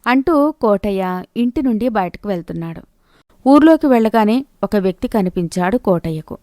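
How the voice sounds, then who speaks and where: A female narrator tells a scripted moral tale. Her voice sits at a medium pitch, and the recording is dry, with hardly any room sound. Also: animated, moderate pace, darkish tone, no echo.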